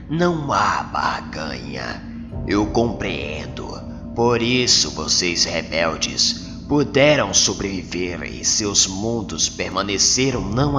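A man's voice: low-pitched, voice pushed down, exaggerated voice. A Brazilian man voicing a cold, haughty, monstrous alien commander in a comic, imperious, with long reverb over music.

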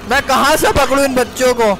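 A man's voice: very high-pitched, steady tone, brisk, fairly bright tone, soft background music, rough recording. A man giving live gameplay commentary, exclaiming and talking to himself and his viewers. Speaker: game streamer.

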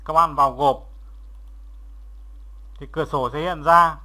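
Bright, high-pitched male software instructor. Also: fairly even intonation, a little slow. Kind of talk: step-by-step screen tutorial narration.

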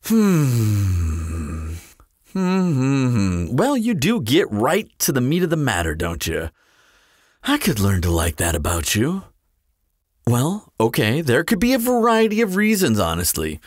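A man's voice: mid-range voice, huge pitch swings, unhurried, no room sound, studio quality. A man playing a cocky, swooping, flirtatious vampire. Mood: mock pondering, amused. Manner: playful, drawling, theatrical, sardonic. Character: teasing, flirtatious, cocky immortal male vampire. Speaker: audio roleplay performer. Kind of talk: scripted audio roleplay acting.

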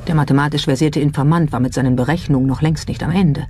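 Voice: medium pitch, steady tone, fast talker, a little mellow. A narrator reading a scripted documentary as a studio voice-over. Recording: voice over background music.

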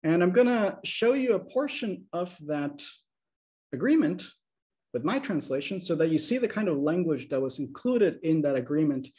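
Male lecturer, 30s, webcam talk, slightly higher pitch, calm explanatory pacing. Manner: measured, explanatory, checks in with "okay?". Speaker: linguistics lecturer. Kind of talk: academic slide presentation. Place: small room indoors. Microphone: video call webcam.

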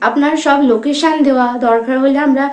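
A young woman with a high-pitched voice speaks with a West Bengal Bengali accent. She is polite but keeps pushing persuasively, as if on a staged prank call. The room adds clear echo. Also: brisk, a little mellow. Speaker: prank caller, vlogger. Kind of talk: staged phone prank conversation.

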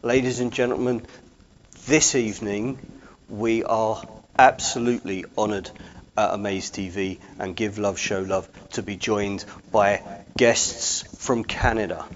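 Slow, emphatic male talk show host. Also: fairly low pitch, steady tone, muffled recording, a little hiss or hum. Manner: slow, emphatic presenter delivery. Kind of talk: broadcast interview hosting. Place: studio set at a desk. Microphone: lapel mic.

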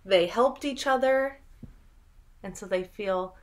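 A young woman reading a picture book aloud to children in an expressive, dramatic way, pausing to ask the listener questions. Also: mid-range voice. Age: young adult. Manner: dramatic, drawn-out delivery with gasps and prompting questions. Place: small room at home, indoors.

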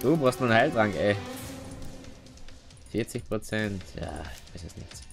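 A man's voice: on the low side, lively intonation, measured pace. A man from Austria giving casual, slangy gameplay commentary, dropping in English exclamations. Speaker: let's player. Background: game music and clicking.

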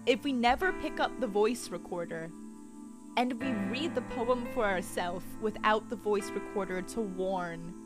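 A woman's voice: medium pitch, measured pace, music in the background. A young woman chatting casually on a livestream as she plays a horror game at home, speaking into a close mic. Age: young adult. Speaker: game streamer. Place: small room at home, indoors. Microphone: boom-arm microphone close to the mouth, with headset.

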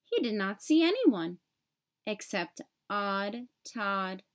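A woman reading a children's picture book aloud as an expressive storyteller, phrasing clearly for young children. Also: mid-range voice, slow.

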